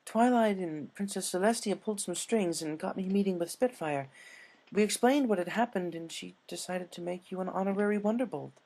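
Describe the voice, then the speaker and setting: Man putting on a high-pitched, refined lady pony. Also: fairly quick, vocal fry, a little mellow, poor audio. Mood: earnest. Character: refined, doting female pony, a caring fashionable friend.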